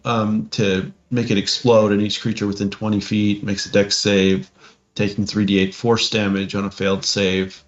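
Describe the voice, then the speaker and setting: A man co-hosting a casual tabletop gaming discussion, explaining things in a hesitant, halting way. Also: lowish voice, level tone. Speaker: podcast co-host. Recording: muffled lo-fi mic, faint music.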